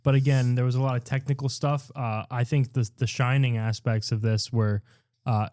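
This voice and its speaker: A man's voice: medium pitch, level tone, normal speed, darkish tone, dry recording, clean studio sound. A man hosting a live comedy show with casual, bawdy banter in a mock-earnest manner.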